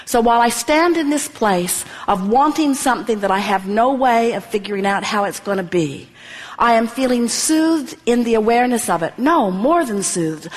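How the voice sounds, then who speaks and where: A woman narrating a guided meditation of first-person affirmations, with measured, deliberate phrasing. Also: a little high. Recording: long reverberant hall-like echo.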